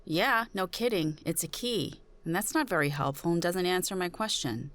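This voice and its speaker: A woman plays an ordinary woman caught in a supernatural suspense tale. Her voice is low, and she speaks informally, with wry skepticism and wary sarcasm. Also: moderate pace, fairly bright tone, natural voice. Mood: sarcastic, skeptical. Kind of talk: supernatural suspense audio drama.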